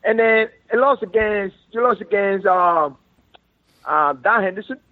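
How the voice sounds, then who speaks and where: A man's voice: high-pitched, measured pace, no echo. Cuban man ranting over a phone line. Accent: Cuban Spanish. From Cuba. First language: Spanish. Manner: insistent, repetitive, broken English. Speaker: fighter calling in. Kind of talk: phone interview. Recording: dry, tinny phone line.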